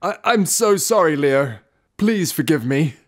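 Earnest, very animated heroic talking dog voiced by an actor. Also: mid-range voice, measured pace, background noise, natural voice. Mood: remorseful. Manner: earnest, encouraging, melodramatic. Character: devoted talking dog hero, fatherly mentor to a boy. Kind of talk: superhero spoof short film acting. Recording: music under the voice.

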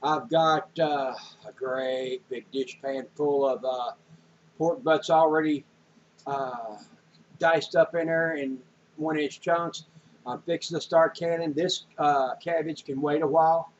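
A man's voice: fairly high pitch, steady tone, slow pace, slight background noise. An older man, rambling folksy about groceries and canning in a casual home vlog, with many fillers. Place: small home room indoors. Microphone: webcam or handheld camera. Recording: dull lo-fi home camera audio.

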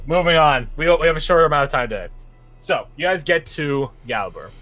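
A man with a bright, forceful voice runs a tabletop game over voice chat. He talks in a loose, rambling way, stopping to hunt for words as he sets the scene. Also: a little high, lively intonation, brisk, soft background music. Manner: rambling, casual, searches for words. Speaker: game master.

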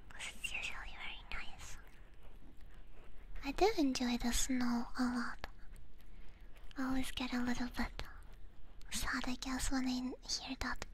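A woman ASMR streamer speaking in a gentle, soothing, breathy whisper close to a binaural microphone, chatting unhurriedly to her viewers. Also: high-pitched, level tone, measured pace, pauses often, low-quality recording. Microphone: close binaural ear microphone.

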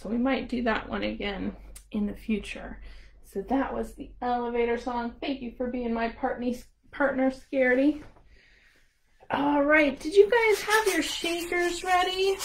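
A woman's voice: high-pitched, moderate pace, gravelly, a little hiss or hum, rough recording. A woman hosting a storytime for young children, talking simply and encouragingly to toddlers. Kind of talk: interactive children's storytime with songs and rhymes.

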